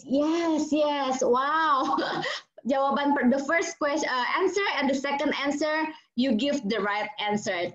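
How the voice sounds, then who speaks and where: Indonesian-accented woman teaching a parenting webinar, higher voice, muffled call audio, lots of uh and ya. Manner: mixes English and Indonesian, lively, many fillers. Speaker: child psychologist giving a parenting webinar. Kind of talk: interactive webinar talk. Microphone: video call.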